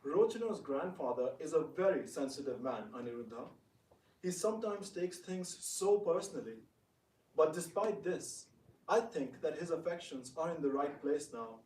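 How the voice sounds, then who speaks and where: A man playing a genial, trusting elder prince, measured and courteous, in a theatrical reading. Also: a little high, expressive, darkish tone, natural voice. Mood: explaining gently. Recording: echoey room, distant microphone.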